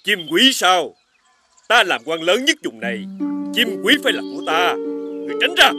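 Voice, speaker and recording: Cartoon mandarin: greedy bully, very high voice, wildly swooping delivery, loud music underneath. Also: male voice, big pitch swings, normal speed. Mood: greedy, haughty. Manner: domineering, commanding. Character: greedy, bullying male mandarin, adult, imperious. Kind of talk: animated fairy-tale dubbing.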